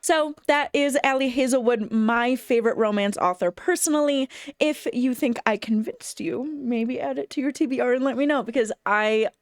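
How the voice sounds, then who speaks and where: A woman's voice: fairly high pitch, normal speed, dry recording, studio quality. A woman giving a casual, enthusiastic book review to camera, speaking conversationally as a bookseller would. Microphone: phone camera.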